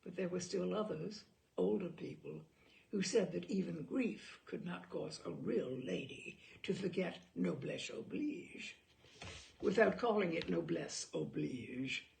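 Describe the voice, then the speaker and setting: A woman narrating a literary short story aloud in an expressive, measured way, with dramatic phrasing. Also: on the low side, creaky.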